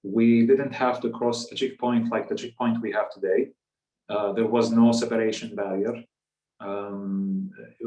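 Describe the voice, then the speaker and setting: A man in his thirties with a Palestinian accent, a native Arabic speaker, telling a personal story in a measured, halting way. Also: medium pitch, darkish tone. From West Bank, Palestinian territories. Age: 30s. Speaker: guest speaker. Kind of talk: personal storytelling in a public talk. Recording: echoey room, distant microphone.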